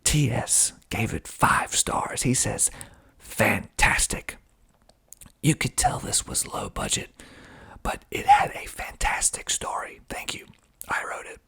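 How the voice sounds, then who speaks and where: A man whispers in a slow, deliberate ASMR style, reading and commenting aloud. His voice is very husky and breathy, with a crackly fry running through it. The delivery stays hushed and close to breath throughout. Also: medium pitch, expressive. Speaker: filmmaker reading reviews of his movie.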